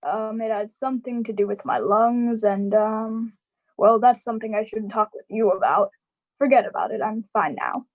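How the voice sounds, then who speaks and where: A woman's voice: fairly high pitch, fairly even intonation, close to an everyday voice, rough recording. A woman playing a lonely, sad uncle in a live radio drama, hesitant and evasive. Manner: hesitant, halting.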